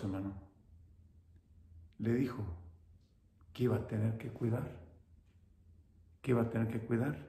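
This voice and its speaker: A man in his fifties, a pastor with a northern Mexican Spanish accent, preaching slowly and emphatically from his home, repeating key words. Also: medium pitch, vocal fry, warm tone. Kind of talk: sermon. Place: home living room, indoors. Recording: roomy echo.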